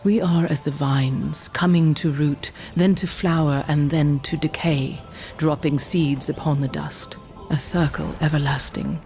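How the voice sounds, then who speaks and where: A woman playing a solemn old shaman of a dying giant race in a fantasy game, speaking in a measured, mystical way. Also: low voice, steady tone, warm tone, faint music behind. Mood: ominous. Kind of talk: dark fantasy game acting.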